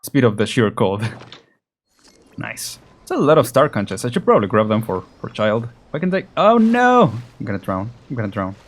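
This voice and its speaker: A man's voice: medium pitch, sing-song, normal speed. A man hosting a gaming video with casual commentary, offhand and chuckly, muttering asides as he plays. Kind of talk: casual let's play commentary. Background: game music.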